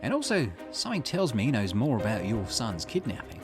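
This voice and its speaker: A man's voice: medium pitch, wildly swooping pitch, brisk, music in the background. A man voicing a shrewd, confident male investigator in a visual novel, speaking in a conversational, explanatory way. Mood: confident, musing. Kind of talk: reading visual novel dialogue aloud.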